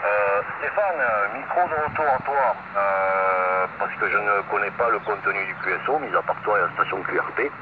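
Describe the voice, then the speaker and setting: A man making a casual amateur radio contact, speaking in halting phrases over a radio transmission. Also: on the low side. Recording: muffled shortwave radio with static. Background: radio noise.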